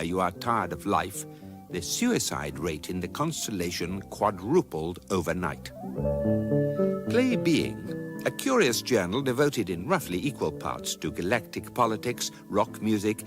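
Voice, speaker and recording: Erudite scifi guidebook narrator, dry n deadpan, low but lilting, music underneath. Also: male voice. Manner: measured, dry, deadpan delivery of absurd statements. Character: calm, erudite narrator of an electronic galactic guidebook. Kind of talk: scripted comic narration in radio drama.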